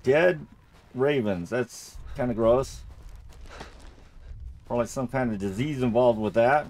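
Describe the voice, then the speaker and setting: A man's voice: mid-range voice, measured pace, darkish tone, a little hiss or hum. A man giving casual Let's Play commentary on a horror game, rambling and thinking aloud as he plays, often repeating himself. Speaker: gamer narrating a horror game playthrough.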